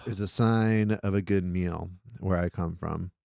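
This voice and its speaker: Rambling low-voiced comic, smooth and dry. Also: male voice, steady tone, dark tone, no echo. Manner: rambling, halting and profane. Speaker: stand-up comic guest. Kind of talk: casual chat.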